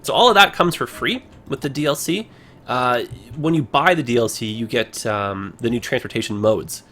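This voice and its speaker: A man casually commenting on a game he plays on a livestream, rambling with many fillers, his voice hoarse as he is losing it. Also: medium pitch, moderate pace, bright, forceful tone, slight background noise.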